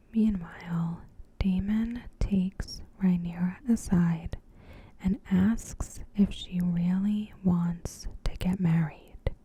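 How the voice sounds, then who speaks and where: A woman doing ASMR in a hushed, breathy whisper, gently and unhurriedly reading a recap of a story. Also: lowish voice, slight background noise. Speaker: ASMR creator and host. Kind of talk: soft-spoken ASMR, reading a TV episode recap.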